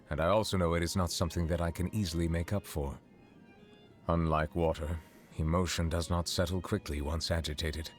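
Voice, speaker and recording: An actor playing a dignified, reserved Chief Justice in a video game, speaking in a formal, measured, precise and earnest way. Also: male voice, low-pitched, close to an everyday voice. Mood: solemn, reserved. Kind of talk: scripted video game character monologue.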